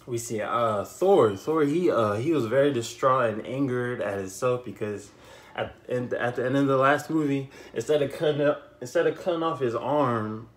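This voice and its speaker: A young man giving a casual, unscripted movie recap, telling it in an animated way with repeated phrases and slangy asides. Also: medium pitch, moderate pace. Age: young adult. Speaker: YouTube film reviewer. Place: small bedroom, indoors.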